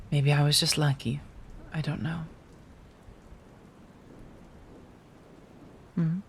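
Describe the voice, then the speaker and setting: Girl doing a vampire lady asmr roleplay, remorseful n possessive, measured halting talk w sighs, rain and thunder behind. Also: deep voice, a little hiss or hum. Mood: measured, reflective. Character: remorseful, possessive female vampire confessing to her companion.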